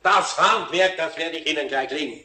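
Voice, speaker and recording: An actor playing an older, grumbly but kindly Bavarian carpenter in a children's radio play, folksy in manner. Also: male voice, fairly high pitch, moderate pace, lightly acted. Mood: indignant. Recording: faint hiss and clicks, vinyl record.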